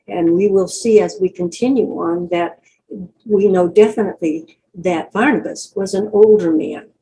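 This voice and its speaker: An older woman giving an unscripted Bible lesson in a deliberate, explanatory manner with many pauses. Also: on the low side, dark tone, rough recording. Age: older, great-grandmother. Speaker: Bible teacher.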